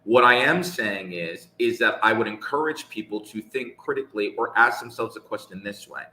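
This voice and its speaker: A man speaking in a casual live conversation, measured and emphatic, making points with rhetorical questions. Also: on the low side, pushed and bright, roomy sound, rough recording.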